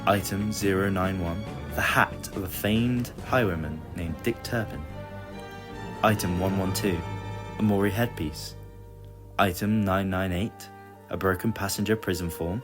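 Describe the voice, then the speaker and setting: A man's voice: sits low, unhurried, over music. A man reading scripted narration for an adventure audio story.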